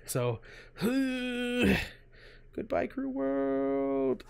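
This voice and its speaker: A man's voice: high-pitched, very animated, extremely slow, a little mellow, clean tone. A man with a stuffy cold hosting his gaming channel, chatting casually over gameplay, laughing often and full of fillers and restarts.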